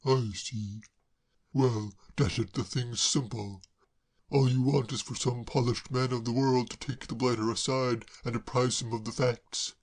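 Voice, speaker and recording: A reader voicing a genial, bumbling young English gentleman who speaks slowly and in a puzzled way. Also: a bass voice, fairly even intonation, full character voice. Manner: fussy, polite, slow and puzzled.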